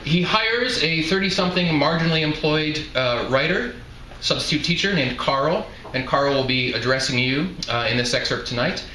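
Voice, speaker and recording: Man reading fiction aloud, bright roomy sound. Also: fairly high pitch, expressive, normal speed. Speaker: author reading from own novel. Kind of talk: live literary reading, scripted narration with brief introduction. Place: indoor live reading event before an audience. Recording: roomy echoing live venue, some noise.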